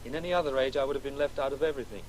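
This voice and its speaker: An actor playing a precocious schoolboy in a radio play, earnest, confident and articulate. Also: male voice, medium pitch, very fast, a little hiss or hum, natural voice, poor audio. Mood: earnest, chatty. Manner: articulate, insistent, eager. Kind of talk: naturalistic radio play dialogue.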